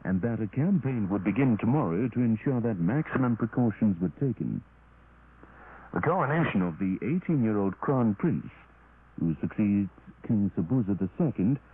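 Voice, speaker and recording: Tinny shortwave recording of a male newsreader. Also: lowish voice. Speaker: radio newsreader. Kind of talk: formal scripted news bulletin reading. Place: radio broadcast studio. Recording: tinny, noisy 1980s shortwave radio recording.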